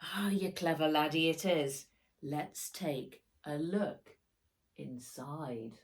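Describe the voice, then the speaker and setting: A woman's voice: sits low, slow. A woman voicing a kindly old Scottish grandfather in a children's storybook, affectionate and coaxing. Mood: encouraging.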